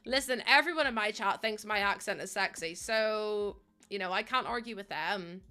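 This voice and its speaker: Bright-voiced Northern Irish woman streamer. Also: mid-range voice, normal speed, clear, resonant tone. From Northern Ireland. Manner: chatty, giggly, swears casually, local slang. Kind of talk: casual chat with live chat. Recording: faint music behind.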